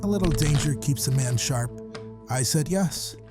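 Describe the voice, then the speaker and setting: A man's voice: on the high side, animated, a little slow, over music. An actor playing a folksy, wry hospital janitor who calls in and tells his story matter-of-factly in a horror audio drama. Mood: brooding, storytelling. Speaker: caller.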